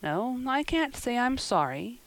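A woman's voice: a little high, big pitch swings, unhurried, no echo, voice pushed up. Stern older guardian, acted by a woman narrator: storybook character, blunt, sarcastic, scolding, no patience for nonsense. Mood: dry, sarcastic.

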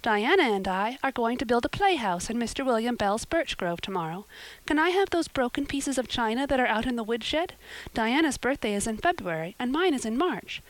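A woman's voice: on the high side, sing-song, brisk, pitched above the performer's own voice, rough recording. A woman voicing an eager, talkative orphan girl with a gushing, romantic way of speaking.

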